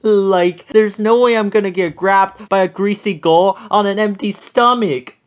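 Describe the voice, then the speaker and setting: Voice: mid-range voice, unhurried, pitched above the performer's own voice, close to an everyday voice. A reader voicing a cowardly, goofy young male hippie slacker with cartoonish exclamations in a storybook read-aloud. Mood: nervous, firm. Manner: cartoonish exclamations, sprinkles speech with like.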